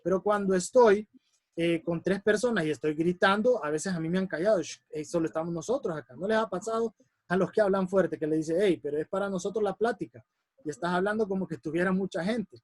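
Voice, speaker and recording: Guy with a strong voice teaching a speaking workshop, pitched high, really animated, dry close sound. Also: quick pace, dry recording. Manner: animated, repeats examples for emphasis. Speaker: public speaking instructor. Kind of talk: workshop lesson. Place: classroom or online lesson.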